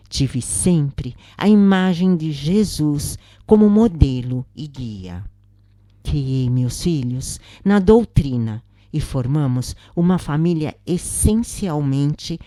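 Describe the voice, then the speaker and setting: A Brazilian woman narrates a scripted account in a very low voice. Her delivery is slow and measured, with a soft, mellow tone. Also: no echo, clean studio sound. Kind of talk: scripted narration of a first-person spiritist account.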